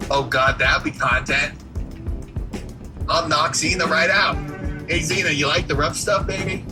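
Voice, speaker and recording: A man's voice: fairly high pitch, steady tone, measured pace, music in the background. A man delivering a crude, boastful, profane rant as livestream commentary.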